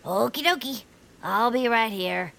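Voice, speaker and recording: A woman's voice: on the high side, expressive, measured pace, gravelly, fairly bright tone, exaggerated voice. A woman voicing an eager, oblivious, chatty best friend in a family audio drama, breezy and folksy. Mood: cheerfully oblivious.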